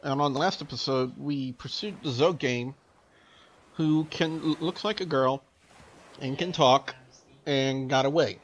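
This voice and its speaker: A man's voice: medium pitch, measured pace. A man hosting a gaming channel, reading a visual novel aloud with casual asides.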